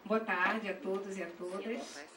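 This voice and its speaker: Slow-paced northeastern Brazilian woman, echoey and distant. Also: mid-range voice, fairly even intonation, a crowd in the background. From Pernambuco, Brazil. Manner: halting, checks in often with tag questions. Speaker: graduate dance student and teacher presenting research. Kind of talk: academic conference presentation. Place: echoey session room at a university dance school. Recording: roomy, distant mic, faint noise.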